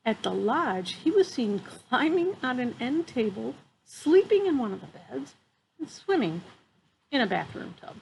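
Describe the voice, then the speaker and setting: A woman's voice: fairly high pitch, measured pace, gravelly, rough recording. A woman in her fifties reading a picture book aloud to children outdoors, with amused, chatty asides. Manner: animated, amused, exclaiming. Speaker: children's storyteller. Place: outdoors on a wooden deck among trees. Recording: faint outdoor ambient noise.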